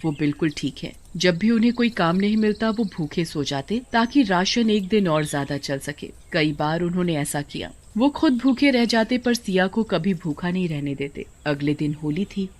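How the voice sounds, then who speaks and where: A woman's voice: lowish voice, animated, moderate pace, hardly any pauses, darkish tone. A woman giving scripted narration for a cartoon story.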